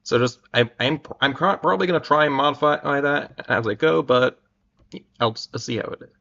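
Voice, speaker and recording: Gravelly-voiced man chatting on a livestream. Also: medium pitch, normal speed, bright, forceful tone. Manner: hesitant, rambling, self-interrupting. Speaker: livestream host. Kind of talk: casual livestream chat to viewers. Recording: dull, lo-fi microphone sound.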